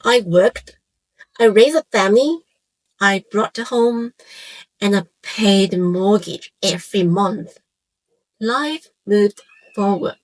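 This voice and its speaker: A woman in her forties from Hong Kong, a native Cantonese speaker with a Hong Kong accent, narrates a scripted, reflective piece in measured phrases with short pauses. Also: mid-range voice, poor audio. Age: 40s. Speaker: YouTube channel creator and host.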